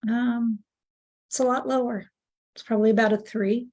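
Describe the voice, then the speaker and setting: A woman's voice: fairly high pitch, steady tone, normal speed, dark tone, dull audio. A woman in her sixties, a client in an emotional tapping session, talking candidly and repeating affirmations back to her practitioner. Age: 60s. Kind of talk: echoing affirmations after the practitioner.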